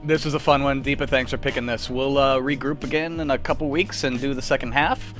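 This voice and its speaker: A man's voice: mid-range voice, fairly even intonation, fairly quick, fairly bright tone, faint music behind. A young man hosting a livestream in casual, jokey, self-deprecating group chat. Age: young adult. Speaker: host of a live script-reading stream.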